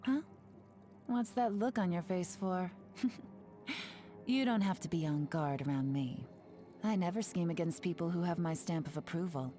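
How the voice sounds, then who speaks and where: A sly young woman spy played by an actress in a video game, with a fairly low, mellow voice. She speaks in an unhurried, teasing, secretive manner that slips into breathy asides, with music behind her. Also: natural voice. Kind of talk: video game character voice lines.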